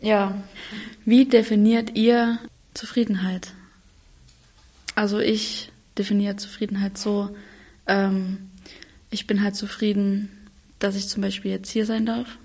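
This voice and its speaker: A nineteen-year-old woman in a casual group chat, speaking softly and half-whispered with hesitations. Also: medium pitch, level tone, slow, long pauses, husky. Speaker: podcast participant. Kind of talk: casual round-table chat.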